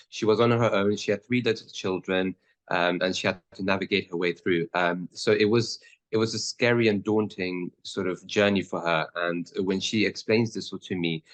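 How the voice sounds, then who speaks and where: Low-pitched male lawyer in his thirties, halting and earnest, lots of ums. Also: a bit bright. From born in Pakistan, raised in the Netherlands. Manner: hesitant, many fillers and restarts. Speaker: lawyer guest. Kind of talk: interview answers.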